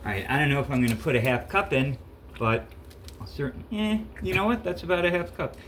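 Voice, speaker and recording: A man's voice: fairly high pitch, animated, fast, background noise. A man in his fifties with a stuffy cold, rambling and correcting himself as he cooks in his home kitchen. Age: 50s. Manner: rambling, self-correcting, chatty. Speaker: home cook vlogger. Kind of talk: casual cooking vlog.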